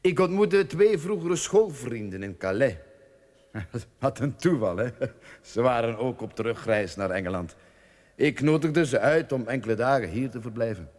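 A man's voice: mid-range voice, lively intonation, moderate pace, darkish tone, natural voice. A Flemish man acting in a radio drama as a confident, breezy young nobleman speaking politely. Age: young adult. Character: confident young nobleman returning home to his father. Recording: long hall reverb.

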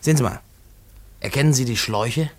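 A man acting an eager, plain-spoken railway worker in a 1950s radio play, explaining keenly with halting repeats. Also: mid-range voice, lightly acted. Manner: halting, repetitive, keen explaining. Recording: 1950s radio drama recording.

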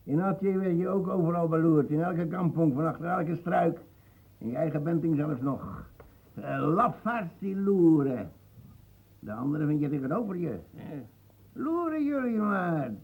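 An actor playing a gruff, suspicious old ex-soldier, muttering and defiant, in a radio play. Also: male voice, a little high, animated, a little slow, narrow, tinny audio, exaggerated voice. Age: older.

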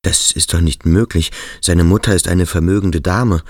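An actor playing a brooding, inquisitive boarding school cadet in a radio drama, speaking in a hushed, intent way. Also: male voice, low voice, steady tone, normal speed, no room sound, clean studio sound. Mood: curious, disbelieving. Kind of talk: radio drama dialogue and inner thought.

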